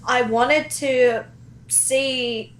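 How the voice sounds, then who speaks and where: A woman's voice: high voice, steady tone, drawn-out words, roomy sound, poor audio. A woman chatting casually and enthusiastically about video games as a guest who directs fan dubs.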